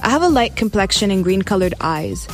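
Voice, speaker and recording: A woman's voice: medium pitch, very animated, a little fast, faint music behind. Woman reading a personal reflection. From Dubai. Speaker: contributor.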